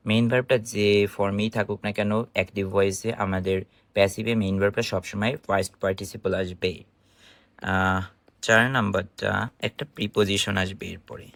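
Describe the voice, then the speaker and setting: A man's voice: on the low side, fairly even intonation, moderate pace, gravelly, fairly bright tone, dry recording. A man with a Bangladeshi accent giving an informal online grammar lesson in an explanatory, question-and-answer manner. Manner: explanatory, instructive, asking and answering own questions. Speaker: English grammar teacher.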